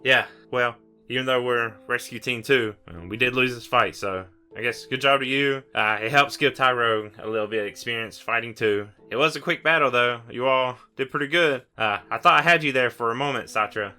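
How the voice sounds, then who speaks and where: Man voicing a bright-voiced, good-natured battle rival creature. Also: medium pitch, fairly quick, clean tone, faint music behind. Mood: friendly, sheepish. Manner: casual, hesitant, good-natured. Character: confident, apologetic rescue-team creature, friendly rival battler.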